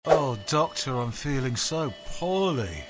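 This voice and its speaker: Poorly patient played by a woman, low and slow, feeble comic-skit delivery, prominent music behind. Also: very animated, full character voice. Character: poorly patient pleading with a doctor.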